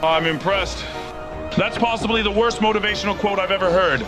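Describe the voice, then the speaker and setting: Man playing a high-voiced pedantic scientist. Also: fairly quick, close to an everyday voice. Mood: dry, concerned. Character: dry, pedantic male scientist ghost hunter. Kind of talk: animated motion comic acting. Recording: very loud music behind.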